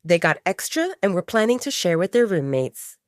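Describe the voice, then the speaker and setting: A woman narrates an audio drama in a slightly low voice. Her delivery is scripted storytelling with wide, lively intonation, and the sound is close and dry. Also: moderate pace, no room sound.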